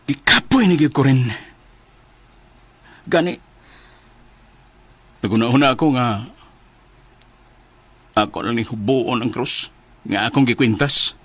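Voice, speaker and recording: An actor playing a weary, despairing jobless Filipino husband in a Cebuano radio drama, sighing and anguished. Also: male voice, medium pitch, expressive, slow, pauses often, bright, forceful tone, breathy edge, dry recording, full character voice. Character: despairing jobless husband losing his faith.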